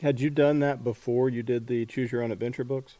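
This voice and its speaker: A man's voice: mid-range voice, steady tone, fairly quick, mellow, no room sound. A man hosting a casual book interview, asking questions in a slightly hesitant, conversational way. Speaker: podcast interviewer.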